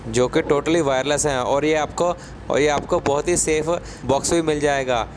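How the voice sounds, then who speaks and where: A man's voice: a little high, fairly even intonation, normal speed, background noise. A man presenting a gadget in a casual unboxing style, explaining things step by step. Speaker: tech channel presenter. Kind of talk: casual product unboxing narration.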